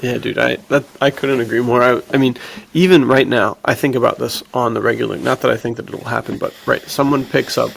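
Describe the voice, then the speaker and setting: Casual man with a creaky voice. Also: mid-range voice, fairly even intonation, brisk, fairly bright tone, a little hiss or hum. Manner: informal slangy talk, says dude and like often. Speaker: podcast host and adventure fiction writer. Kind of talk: casual film discussion chat.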